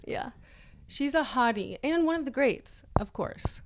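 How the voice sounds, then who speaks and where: Slow-talking woman in casual conversation. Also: sits high, expressive. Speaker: podcast co-host. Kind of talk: casual chat.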